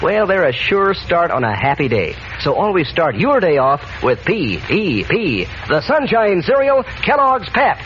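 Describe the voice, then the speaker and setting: A man's voice: sits high, big pitch swings, unhurried, hardly any pauses, fairly bright tone, a little hiss or hum. A man announcing a 1940s radio advertisement for children with folksy, enthusiastic salesmanship, on a muffled old recording. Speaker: sponsor announcer.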